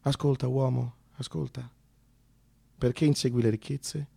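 A man's voice: mid-range voice, flat delivery, unhurried, vocal fry, warm tone, husky. A man narrating a theatrical monologue in a colloquial, dramatic way with emphatic asides. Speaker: narrator and solo storyteller. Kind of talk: theatrical monologue, conversational storytelling.

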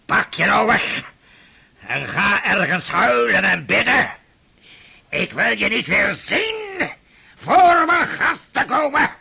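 Old tyrant prince, high, gravelly, husky, ranting, radio play. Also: male voice, big pitch swings, slow pace, heavily acted. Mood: tyrannical. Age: older. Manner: rants and shouts, suspicious. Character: irascible old male Russian prince, domineering.

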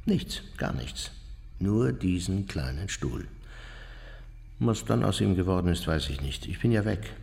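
A man's voice: deep voice, expressive, a little slow, vocal fry, a little mellow, husky, exaggerated voice. An actor playing an older, unrepentant Nazi war criminal, smug and matter-of-fact as he recounts his memoirs in a radio drama. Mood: smug reminiscing. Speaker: narrator of his own memoirs. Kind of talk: radio drama monologue, reminiscing.

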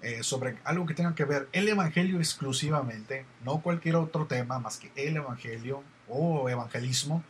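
A man's voice: medium pitch, moderate pace, few pauses, a little hiss or hum. A man with a Mexican accent hosting an online radio show, chatty and meandering as he reads listener messages. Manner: chatty, meandering, many fillers. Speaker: radio host.

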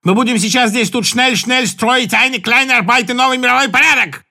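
A man's voice: extremely high pitch, moderate pace, gravelly, pushed and bright, pitched above the performer's own voice, full character voice. A man voicing a bossy, armed woman with a German accent who barks orders in broken grammar. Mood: commanding.

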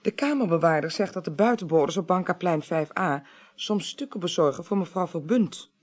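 An actress playing a composed, shrewd female parliamentary clerk in a Dutch radio drama, speaking formally, politely and deliberately. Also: fairly low pitch, animated, a little mellow. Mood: polite, inquiring.

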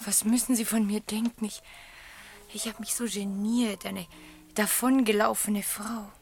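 Reserved, gentle young wife. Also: female voice, medium pitch, little pitch movement, a little slow, creaky. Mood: embarrassed, sad. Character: reserved young runaway wife working as typist, gentle, sorrowful. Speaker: actress in a radio play. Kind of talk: German radio play dialogue.

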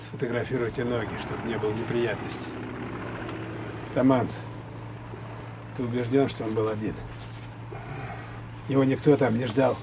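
An actor playing a businesslike lieutenant colonel in a Soviet radio drama, giving clipped, official orders. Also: male voice, mid-range voice, fairly even intonation, moderate pace, creaky, pauses often, noisy background, close to an everyday voice. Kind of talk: Russian radio drama acting.

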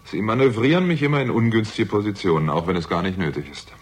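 A man's voice: on the low side, fast talker, lightly acted. An actor playing a weary, bitter theatrical agent who narrates his own story in a terse, sardonic way in a 1960s German crime radio drama. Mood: defiant.

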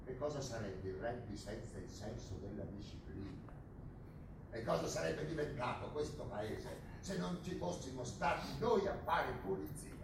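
A man's voice: mid-range voice, lively intonation, normal speed, natural voice. A male stage actor playing a menacing, boastful army captain of a dictatorship, pompous and scornful in a theatrical, declamatory way. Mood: cold menace. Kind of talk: theatrical stage drama acting. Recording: very echoey distant stage recording, noisy.

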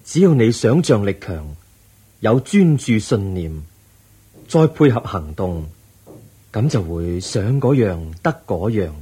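A wise, patient Buddha in a radio play. His low voice is very husky and moves through wide rises and falls. He teaches in a measured, gentle, preaching manner. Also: sing-song, full character voice. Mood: solemn, reassuring. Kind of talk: Cantonese radio drama acting.

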